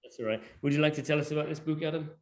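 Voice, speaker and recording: A man presenting a digital library informally to an online session, speaking in a halting, hesitant way. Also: a little high, a little mellow. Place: online video call.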